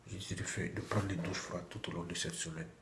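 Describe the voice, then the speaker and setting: Low, flat-voiced man with a cold. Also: fast, noisy background. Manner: chatty, repeats himself, many restarts. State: has a cold. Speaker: vlogger. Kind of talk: casual vlog to camera. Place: small bathroom at home. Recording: echoey room with faint ambience.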